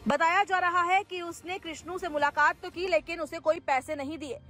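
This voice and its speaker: High-pitched woman reading scripted news. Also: fairly even intonation, normal speed, pushed and bright. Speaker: news presenter. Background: background music.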